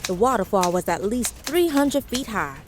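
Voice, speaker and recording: An actress playing a commanding, wry 1940s spy who narrates an audio drama with confident, dramatic delivery. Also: female voice, medium pitch, animated, normal speed, noisy background, natural voice. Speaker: narrator and lead character. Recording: music under the voice.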